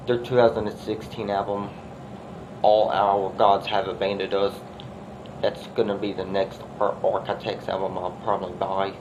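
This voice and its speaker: Low-voiced guy w a stuffy cold nose doing a rambly album review, noisy recording. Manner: earnest, rambling and repetitive. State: congested from a winter cold. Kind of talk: casual music review vlog. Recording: steady background noise.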